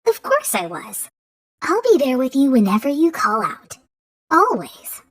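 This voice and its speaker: A woman's voice: high-pitched, wildly swooping pitch, a little slow, a bit bright, husky, heavily acted. A woman voicing a tiny, cheerful magical girl with a sweet, small voice who talks frankly and kindly. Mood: reassuring. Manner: chirpy and reassuring.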